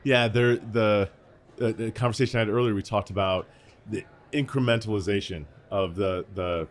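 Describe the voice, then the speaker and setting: A man's voice: lowish voice, fairly even intonation, moderate pace. A man hosting an interview in a casual, conversational style. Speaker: interviewer, host.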